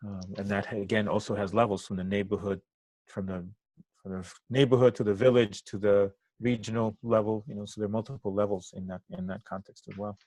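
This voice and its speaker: An older man originally from Cuba, native in Spanish, speaks as an interview guest in a low voice. He thinks as he goes, breaking phrases with pauses and picking them up again. The sound is dry and close, with little room in it. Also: little pitch movement, warm tone, dry recording, low-quality recording. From Cuba, moved to the US at eight. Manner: halting, thoughtful phrasing with pauses mid-sentence. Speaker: interview guest, activist and trainer. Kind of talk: reflective interview answers.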